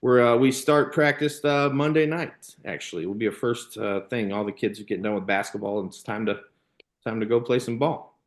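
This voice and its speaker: An American man in his thirties with a mid-pitched voice, chatting as a podcast guest. He tells stories in a loose, rambling way, with plenty of "you know" and "like" along the way. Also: a little fast. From Illinois, United States. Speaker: podcast guest, former baseball player and youth coach. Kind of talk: casual anecdotal storytelling.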